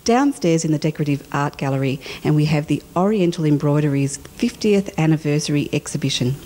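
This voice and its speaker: A woman's voice: low-pitched, fairly quick, dark tone. A woman with an Australian accent reporting for television news on location and asking questions.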